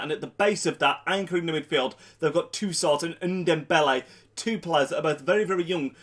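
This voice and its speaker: A young man with a blocked nose from a cold, talking through a football match preview in a chatty vlog, doubling up words for emphasis. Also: a little high. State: congested with a cold. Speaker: football fan vlogger.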